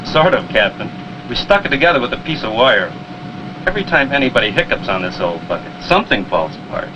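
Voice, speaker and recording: An actor playing a wry, grumbling submarine engineer in a 1950s television war drama. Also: male voice, medium pitch, big pitch swings, brisk, close to an everyday voice. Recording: dull, very noisy old film soundtrack.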